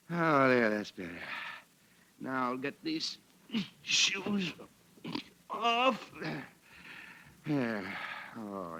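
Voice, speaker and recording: A man's voice: medium pitch, gravelly, bright, forceful tone, full character voice, poor audio. A man playing a weary, sputtering, exasperated American family father in a 1940s radio comedy. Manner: sighing, sputtering, indignant. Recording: hissy old radio broadcast.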